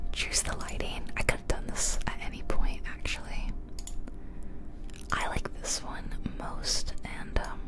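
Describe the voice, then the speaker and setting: A woman hosting ASMR in a soft, chatty whisper, speaking close to the microphone as she casually comments on a game. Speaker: ASMR video host. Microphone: close ear-to-ear ASMR microphone.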